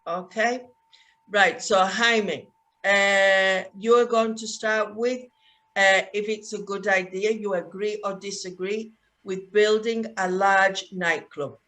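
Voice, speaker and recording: A woman leads an English conversation class, her voice bright and forward. She speaks very slowly and clearly, pausing on plenty of "uh"s to keep learners with her. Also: medium pitch, steady tone. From United Kingdom. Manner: slow and clear, with many fillers. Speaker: English teacher and host.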